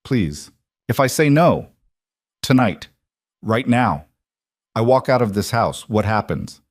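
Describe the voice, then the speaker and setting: A man voicing a wary young woman who is shaken but direct, asking halting, careful questions. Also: mid-range voice, sing-song, no room sound, studio quality. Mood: uneasy.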